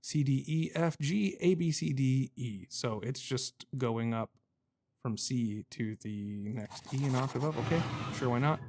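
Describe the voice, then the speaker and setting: A man's voice: mid-range voice, measured pace, darkish tone. A man giving casual let's-play commentary, thinking aloud in goofy mock-swearing slang and sometimes reading game notes out. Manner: thinks aloud while playing. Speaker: gaming YouTuber.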